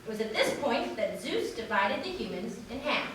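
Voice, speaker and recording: A woman's voice: fairly high pitch, big pitch swings, normal speed, fairly bright tone. A woman giving a theatrical recitation of a formal speech as a reader at a wedding. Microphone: distant from speaker. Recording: echoey room, distant mic, faint noise.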